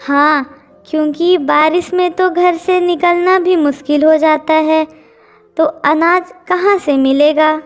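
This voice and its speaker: Woman acting a cartoon mother bird, very high voice, slow delivery, humble, earnest, pleading. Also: steady tone, soft background music. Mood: concerned. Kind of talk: Hindi children's cartoon dubbing.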